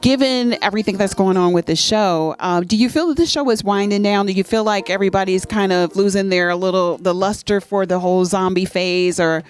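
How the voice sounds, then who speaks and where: A woman's voice: mid-range voice, fairly quick, hardly any pauses, smooth. A woman hosting an interview at a fan convention, asking questions in an eager, enthusiastic way with repeated "I mean". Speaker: interviewer and host. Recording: music faint behind the voice. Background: music playing.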